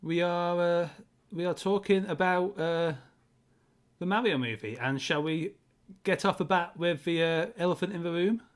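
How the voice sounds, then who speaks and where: A man with a fairly high-pitched voice co-hosts a casual discussion show. He explains his points conversationally, feeling his way through sentences as he goes. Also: normal speed. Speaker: co-host of a film discussion show. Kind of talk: casual chat, film commentary.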